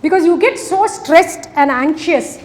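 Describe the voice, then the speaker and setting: A middle-aged woman with an Indian English accent speaks in a high voice. She addresses an audience in a conversational way and leads a workplace session. Her voice carries a long reverb from the hall. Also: unhurried. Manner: conversational, asks the audience questions. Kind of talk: interactive talk to an audience.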